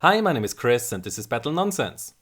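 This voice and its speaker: A man's voice: medium pitch, very animated, heavy background noise. A man hosting a gaming channel, giving scripted, methodical commentary on game design. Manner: explanatory, measured and methodical. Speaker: gaming video host.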